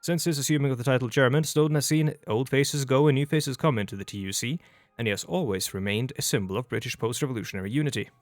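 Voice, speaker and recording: Young man, Norwegian accent, Norwegian native speaker, chatty let's play host, rambling gameplay talk, middle-pitched voice, faint music underneath. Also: fast, no echo. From Norway. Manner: chatty and rambling, with frequent restarts. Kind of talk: casual gameplay commentary. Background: faint background music.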